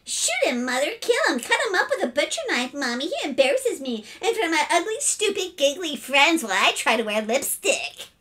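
A scornful teenage storybook sister, as a woman reader puts her on. She is dramatic and exasperated, her high voice swooping with disgust. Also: big pitch swings, slow, distant mic. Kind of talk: children's storybook read-aloud.

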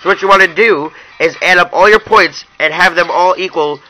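Bright-voiced young man doing app review. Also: high voice, brisk, a little hiss or hum, poor audio. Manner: informal, addresses viewers as you guys. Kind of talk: casual hands-on app review narration.

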